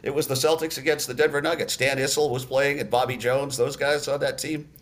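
A man in his sixties from Massachusetts, a radio announcer telling stories in a podcast interview with many "uh" pauses. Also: medium pitch. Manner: relaxed storyteller, many "uh" pauses.

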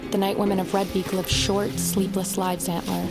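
Wry female fighter played by a woman, medium pitch, for a dramatic fantasy audio drama. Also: little pitch movement, normal speed, creaky, loud music behind the voice, natural voice. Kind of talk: dramatic audio fiction acting.

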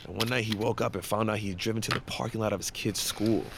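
An actor playing a brash, foul-mouthed war-veteran coworker, blunt, pushy and joking, in a naturalistic audio drama. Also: male voice, fairly low pitch, fairly even intonation, fast, vocal fry, fairly bright tone, a little hiss or hum. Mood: gruff, friendly.

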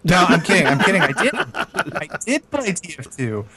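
A man with a bright, forward voice pitched a little high. He co-hosts a gaming podcast, telling things casually with a joking, conversational touch. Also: wildly swooping pitch, moderate pace, breathy edge. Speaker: podcast co-host.

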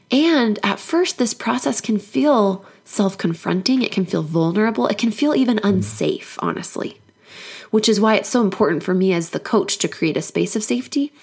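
A woman hoarse from a cold, giving an earnest, encouraging solo podcast talk as a life coach. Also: medium pitch.

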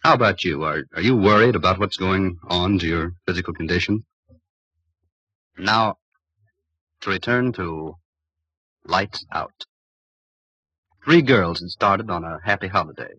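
An actor playing a solemn, ominous host narrating an old-time radio horror show in a deliberate, theatrical way. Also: male voice, low-pitched, sharp tone, breathy edge, dry recording, heavily acted. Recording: dull old radio recording.

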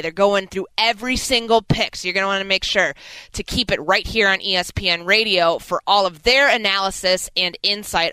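A woman's voice: medium pitch, bright, forceful tone, clear, resonant tone, no room sound, low-quality recording. A woman hosting a sports radio show, delivering opinions and promos with emphatic energy into a close studio mic. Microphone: close studio broadcast microphone.

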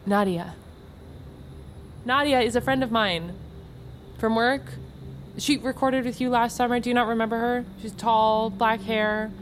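An actress playing a breezy, quietly manipulative woman in a naturalistic audio drama, chatty, coy and insistent. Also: on the high side, fairly even intonation, unhurried, pauses often, slight background noise, close to an everyday voice. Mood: probing, sly.

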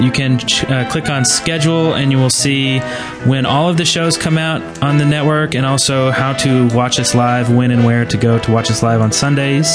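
A man hosting a podcast in a casual, chatty style. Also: mid-range voice. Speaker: podcast host. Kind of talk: casual chat.

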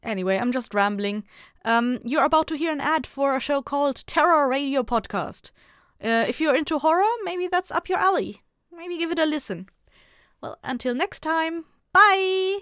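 Casual, higher-voiced woman podcast host. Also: expressive, moderate pace, a bit bright, no room sound, clean studio sound. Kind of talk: casual rambling outro.

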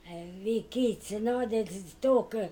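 An older woman from Croatia with a Croatian accent, talking in halting, broken English in casual conversation. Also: on the high side, mellow, a little hiss or hum. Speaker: interviewee.

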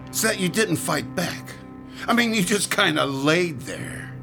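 An actor playing a menacing, sneering extortionist who taunts with mock friendliness in an audio drama. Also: male voice, sits high, animated, a little slow, creaky, music in the background, heavily acted. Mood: cold contempt. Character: menacing, sneering male extortionist thug, mocking bully. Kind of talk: modern audio drama acting.

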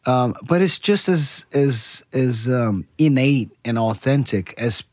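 A man's voice: medium pitch, lively intonation, takes it slowly, a little mellow, dry recording, clean studio sound. A man from Brazil, a musician, talking casually in an interview with many restarts and fillers. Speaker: musician guest.

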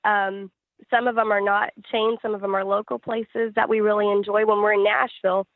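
A woman's voice: mid-range voice, no room sound, narrow, tinny audio. A woman who sings and writes gospel music, answering interview questions in a conversational way. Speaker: interview guest, gospel singer-songwriter.

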